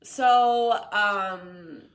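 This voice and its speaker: A young adult woman co-hosting a casual podcast, chatty and animated with lots of fillers, sounding a little nasal and sniffly from a recent illness. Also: medium pitch, extremely slow. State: slightly nasal and sniffly, getting over an illness. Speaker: podcast co-host. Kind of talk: casual chat.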